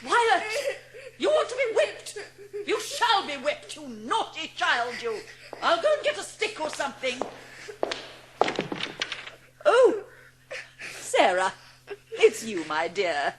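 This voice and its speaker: A woman's voice: high-pitched, huge pitch swings, takes it slowly, pauses often, noisy background, full character voice. A woman playing a stern, imperious, two-faced schoolmistress in a theatrical audiobook dramatisation. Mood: threatening, angry.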